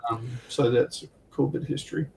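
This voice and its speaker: A man's voice: medium pitch, steady tone, brisk, creaky, a little mellow. A man with a mid-range voice, heard a little away from the microphone. He chats casually as a livestream panelist, in an informal, anecdotal way. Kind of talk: casual chat about military history and tabletop wargaming. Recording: distant microphone.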